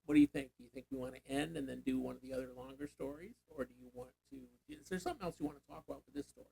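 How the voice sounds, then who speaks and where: A man's voice: medium pitch, animated, racing, gravelly, dark tone, slight background noise, rough recording. An older man, a grandfather, leading a casual Bible reading, thinking aloud and prompting with questions. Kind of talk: casual teaching chat.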